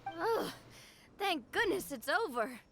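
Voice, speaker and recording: A woman voicing a spirited, kindhearted young lady in a video game, with expressive delivery. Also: extremely high pitch, slow pace, heavily acted. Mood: dramatic. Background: music.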